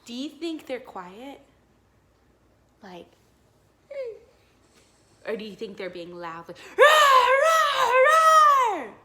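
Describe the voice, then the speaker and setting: A woman teacher reading a storybook aloud to young children in an expressive, encouraging way, pausing to ask them questions. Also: mid-range voice, noisy background.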